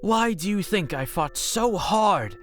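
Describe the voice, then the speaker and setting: A sardonic cookie trickster from a cartoon fan drama, played by a man, with a fairly high voice. He is haughty and theatrical, dripping scorn and sarcasm over light background music. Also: expressive, slow, fairly bright tone, exaggerated voice. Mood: disdainful. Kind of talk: scripted fan audio drama acting.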